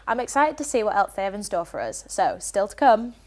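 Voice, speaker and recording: A woman's voice: medium pitch, sing-song, normal speed. Young female studio presenter.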